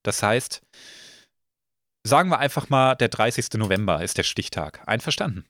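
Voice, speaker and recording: A slightly hoarse man hosting a casual podcast, relaxed and full of filler sounds. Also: fairly low pitch, normal speed, dry recording. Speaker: podcast host.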